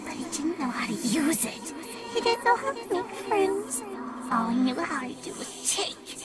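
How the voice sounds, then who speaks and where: Childlike monster filly for audio horror story: very high, wildly swooping, bitter and vengeful, loud music underneath. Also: female voice, very animated, measured pace, exaggerated voice. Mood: quivering, bitter. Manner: quivering, dramatic delivery.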